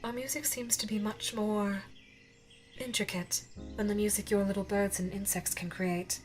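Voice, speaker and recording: A regal alien gem woman, as a female voice actor plays her for an audio roleplay, speaks formally and with tender wonder. She is curious and easily awed, her phrasing halting when moved. Music plays clearly behind her. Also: mid-range voice, flat delivery, lightly acted. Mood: composed, intrigued. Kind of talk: ASMR audio roleplay acting.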